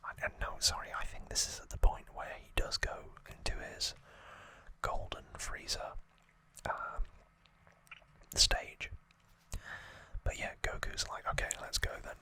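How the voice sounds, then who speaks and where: A man's voice: measured pace, bright, forceful tone, no echo. A man whispering as an ASMR host, giving an informal, rambling trivia recap and correcting himself as he goes.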